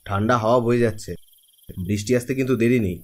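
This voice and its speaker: Man playing hesitant young cartoon guy, talks quick, casual slang. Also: medium pitch, a little mellow, lightly acted. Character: hesitant young man walking with his friend. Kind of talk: Bengali cartoon horror story voice acting.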